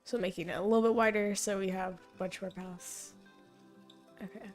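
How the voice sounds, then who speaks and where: Young female streamer, mid-pitched and slightly hoarse, rambling game commentary over soft background music. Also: steady tone, moderate pace. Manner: thinking aloud, rambling and self-correcting. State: voice fading, hoarse. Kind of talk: casual gameplay commentary.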